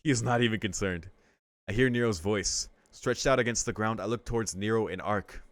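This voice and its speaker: A young man with a lowish voice reads a visual novel aloud and breaks off into casual, slangy reactions. The sound is dry and close, with no room echo, and his talk is loose and excitable. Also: brisk. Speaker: streamer reading a visual novel.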